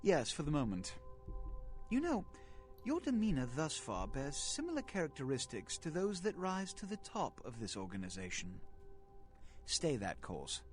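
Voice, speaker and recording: An actor playing a centuries-old aristocratic vampire prince in a video game, pompous and condescending, with formal, measured diction. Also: male voice, on the high side, wildly swooping pitch. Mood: commanding. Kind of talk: video game dialogue acting. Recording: game audio with background music.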